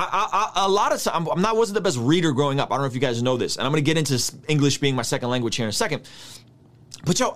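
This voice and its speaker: A man in his thirties, a native Russian speaker, giving an energetic, slangy Bible study lesson. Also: on the high side. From United States. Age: 30s. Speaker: Bible teacher. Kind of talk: casual video teaching.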